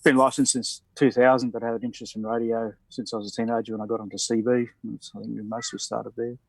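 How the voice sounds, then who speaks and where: An Australian man casually introducing himself to a ham radio net over a video call, in a relaxed, rambling and self-deprecating way. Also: mid-range voice, fast, vocal fry, no echo, rough recording.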